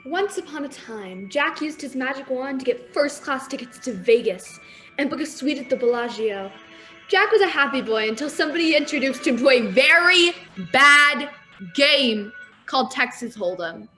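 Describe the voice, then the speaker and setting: A woman's voice: high-pitched, expressive, normal speed, bright, forceful tone, soft background music, poor audio. A young woman playing a shifty fairytale troublemaker who feigns innocence in a comic stage play. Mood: mock storytelling. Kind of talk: comic stage acting over video call.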